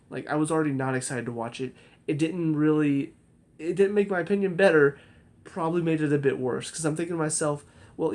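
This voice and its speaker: A man's voice: on the high side, darkish tone. A man giving a casual spoken review of a TV episode, critical and rambling, often restarting his sentences.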